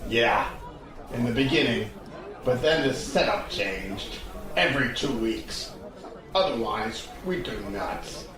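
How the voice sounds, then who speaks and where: An actor playing a blunt, fatalistic, world-weary soldier back from duty in an audio drama. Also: male voice, medium pitch, animated, takes it slowly. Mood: weary, cynical. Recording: distant, very echoey, crowd noise behind. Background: pub crowd chatter.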